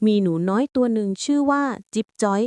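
A woman reading a bedtime story aloud in a slow, soothing way. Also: medium pitch, darkish tone, clear, resonant tone, no echo.

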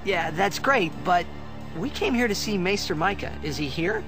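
A man's voice: high voice, expressive, normal speed. A man playing a brash, casual teenage hero in a video game, talking bluntly and informally. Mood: brash, impatient. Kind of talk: video game cutscene acting. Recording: echoing hall, music behind.

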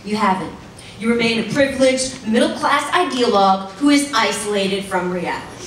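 A woman's voice: fairly high pitch, normal speed, a bit bright, a little hiss or hum. A middle-aged woman giving a dramatic stage reading of letters with exaggerated indignation, into a stand mic. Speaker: stage reader. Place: stage in a large hall. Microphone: stand mic at a music stand. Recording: echoey hall from far off.

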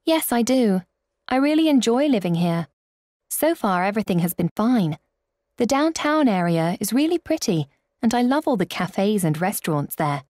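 A woman acts as a contented, sociable newcomer in scripted small talk. Her mid-pitched voice swings in a lively way. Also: moderate pace, dry recording, studio quality, natural voice. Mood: contented, upbeat. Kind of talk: scripted small talk for an English listening exercise.

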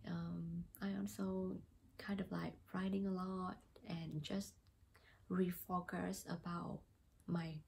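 A woman's voice: fairly low pitch, little pitch movement, slow pace, vocal fry, darkish tone, a little hiss or hum. A young woman from Vietnam with a Vietnamese accent, talking casually to camera as a new real estate agent, hesitant with many fillers. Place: small plain indoor room.

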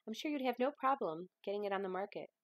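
A woman's voice: medium pitch, animated, quick pace, no echo, clean studio sound, close to an everyday voice. A woman reading a novel aloud as a hurt, defensive woman of thirty-one, emotional and pleading.

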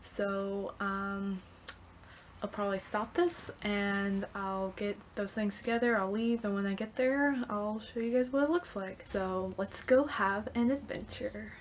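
A woman's voice: medium pitch, fairly even intonation, unhurried. A young woman chatting casually and upbeat to her viewers, recorded on a camera in a small room at home. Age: young adult. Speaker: vlogger. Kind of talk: casual vlog chat to viewers. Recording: noticeable background noise.

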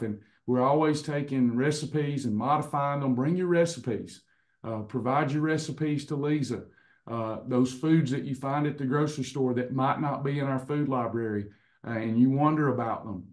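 A hoarse man in his fifties hosting a casual online recipe and wellness class over a webcam, chatty, with frequent restarts. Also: medium pitch. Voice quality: slightly hoarse, clears throat. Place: indoors in front of a printed backdrop. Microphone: webcam on a video call.

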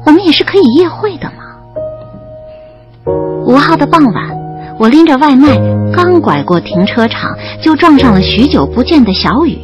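Expressive, bashful young female student. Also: high-pitched, moderate pace, muffled recording, over music. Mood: wry narrating. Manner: expressive, stammering when flustered. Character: young female student in love, narrating bashfully, easily flustered. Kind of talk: audio drama acting with first-person narration.

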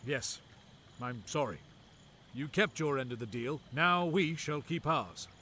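A solemn, loyal royal companion, voiced by a male reader, speaking slowly in a bright voice lifted above the narration. He is formal, gentle and reverent, as an audiobook character. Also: a little high, lively intonation, a little hiss or hum, voice pushed up. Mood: regretful. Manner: slow, drawn-out, formal.

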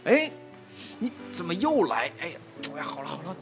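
A man's voice: sits high, huge pitch swings, normal speed, a bit bright, heavily acted. Flustered, harried household lord, played by a man. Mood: flustered scolding. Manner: exasperated, scolding, flustered. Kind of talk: audio drama acting. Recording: background music under the voice.

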